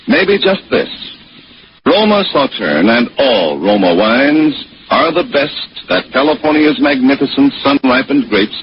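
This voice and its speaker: A man reading a scripted 1940s radio advertisement as announcer, polished and emphatic. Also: medium pitch. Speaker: commercial announcer. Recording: muffled noisy vintage radio recording.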